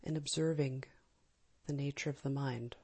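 A woman leading a guided meditation in a calm, gentle, soft and breathy manner, with long pauses. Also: a bass voice. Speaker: meditation teacher. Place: live small group session.

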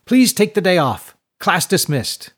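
An excitable, self-important male school rector in an audiobook, as a man reads him. The pitch lifts high above a plain speaking voice, and every phrase is proclaimed with emphatic, pompous pride. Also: unhurried, heavily acted. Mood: proud, excited.

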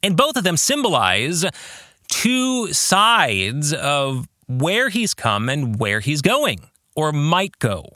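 A man hosting a podcast, giving reflective book club commentary in a deliberate way and putting rhetorical questions to the listener. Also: on the high side, huge pitch swings, sharp tone, no echo, studio quality. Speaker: podcast host and author.

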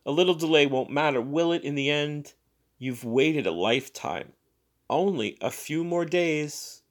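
A man voicing an evasive, placating swindler with smooth, careful salesman's patter in a dramatic story reading. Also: a little high, lively intonation, measured pace. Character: evasive, placating male swindler soothing customers.

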